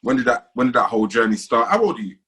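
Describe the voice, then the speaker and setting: A man's voice: medium pitch, sing-song, very fast, fairly bright tone. A man interviewing a guest, asking probing questions in a conversational way.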